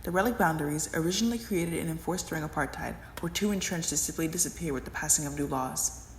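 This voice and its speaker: A woman's voice: deep voice, quick pace, slight background noise. A young adult woman reading a scripted educational narration as the narrator, recorded in an echoey room.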